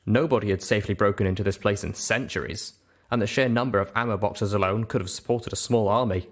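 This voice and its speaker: A man's voice: lowish voice, steady tone, fast. A man narrating a first-person story in audiobook style.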